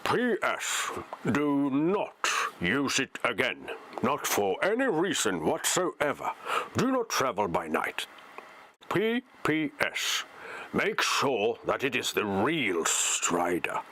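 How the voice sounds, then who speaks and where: A man voicing a grave old male wizard, measured and deliberate, for a storybook reading. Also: medium pitch, big pitch swings, bright, forceful tone, a little hiss or hum, heavily acted. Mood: urgent warning.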